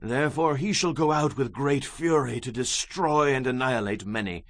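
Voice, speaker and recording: A man's voice: medium pitch, moderate pace, a bit bright, dry recording, lightly acted. A man performing a solemn prophet recounting apocalyptic visions in a theatrical, emphatic scripture reading. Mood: solemn, foreboding. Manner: solemn, emphatic, theatrical delivery with dramatic swells and drops. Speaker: dramatized audiobook Bible reader.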